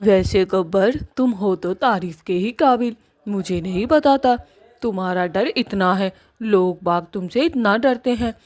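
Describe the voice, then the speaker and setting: A woman voicing a female witch in a Hindi cartoon story, coquettish and sharp-tongued. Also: medium pitch, a little slow, natural voice. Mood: admiring. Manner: coy with her lover, scornful when crossed.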